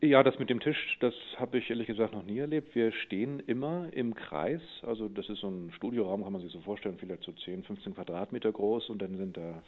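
A man's voice: on the low side, fairly quick, hardly any pauses. A man talking casually in an interview as a voice actor, explaining things thoughtfully and in detail. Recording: tinny, phone-like narrow line.

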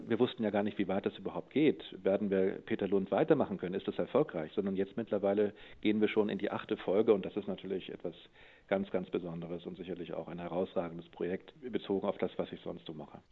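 A man's voice: low voice, fairly even intonation, a little fast, husky. A man talking casually in an interview as a voice actor, explaining things thoughtfully and in detail. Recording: tinny, phone-like narrow line.

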